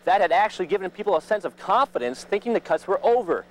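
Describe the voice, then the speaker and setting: Higher-pitched American male TV news reporter. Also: animated, quick pace, low-quality recording. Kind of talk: broadcast news reporting, scripted and live.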